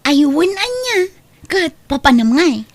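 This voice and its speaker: Woman as high-pitched hardworking young housemaid. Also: sing-song, a little slow, no echo. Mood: teasing. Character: hardworking female housemaid, meek to employers, teasing to peers. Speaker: voice actress. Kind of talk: Ilocano comedy drama acting.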